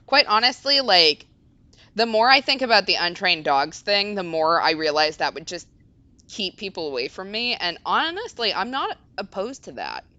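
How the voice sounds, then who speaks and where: A woman's voice: mid-range voice, sing-song, moderate pace, sharp tone, no room sound, highs cut off. A woman whose first language is Japanese, chatting on a game stream with dry, wry humor. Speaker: co-host streamer. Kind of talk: casual game-stream chat.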